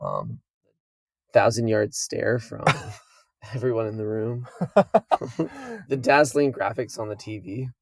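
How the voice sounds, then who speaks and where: A young man co-hosting a podcast, hoarse and croaky from losing his voice, chatting casually and joking. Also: on the low side, animated, takes it slowly, long pauses, no echo, studio quality. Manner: joking, laid-back, laughs often. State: hoarse, lost voice. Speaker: podcast co-host. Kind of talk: casual chat.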